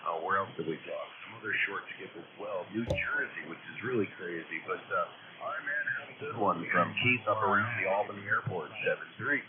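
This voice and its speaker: A man's voice: lowish voice, a little fast, few pauses. A man chatting casually on a radio band, rambling a little and signing off with call signs. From United States. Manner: relaxed, rambling sign-offs with call signs. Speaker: radio operator. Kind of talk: casual on-air radio chat. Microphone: stock radio microphone. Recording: narrow radio audio with loud static.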